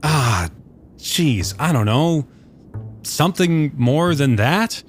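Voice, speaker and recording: A man voicing a drowsy, flustered and reluctant man who speaks hesitantly and haltingly. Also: on the high side, animated, faint music behind, pitched above the performer's own voice. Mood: flustered, weary.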